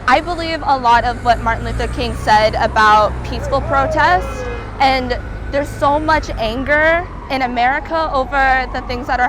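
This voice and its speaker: A woman's voice: high-pitched, noisy background. A young woman from California answering interview questions outdoors, speaking in an earnest, candid way.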